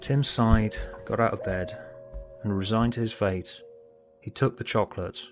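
A man's voice: on the low side, steady tone, a little slow, music in the background. A man reading scripted story narration as an audiobook narrator. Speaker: author and narrator.

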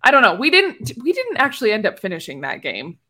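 A woman's voice: fairly high pitch, wildly swooping pitch, quick pace, pushed and bright. A woman chatting informally and playfully as a panelist in a podcast discussion. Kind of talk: casual chat, podcast discussion.

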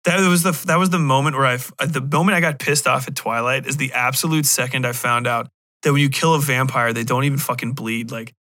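Bright-voiced, profane man rambling casually. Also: mid-range voice, a little fast, no echo, clean studio sound. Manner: profane, blunt, irreverent; animated joking asides, acting out imagined remarks. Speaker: podcast host. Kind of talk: casual rambling review talk.

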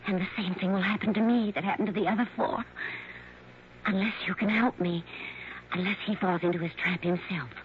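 An actress playing a frightened, hesitant and pleading wife in an old radio drama. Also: female voice, mid-range voice, fairly even intonation, a little fast, natural voice. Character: frightened, anxious wife who suspects her husband. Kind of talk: 1960s radio drama acting. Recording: vintage radio recording, muffled, light noise.

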